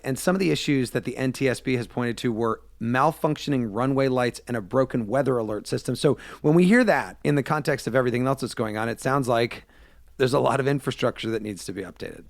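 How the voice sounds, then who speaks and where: A man's voice: mid-range voice, brisk, gravelly, no echo, studio quality. An American man working as a radio host, asking questions in a conversational, plain-spoken way. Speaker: radio show host and interviewer. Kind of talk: conversational interview.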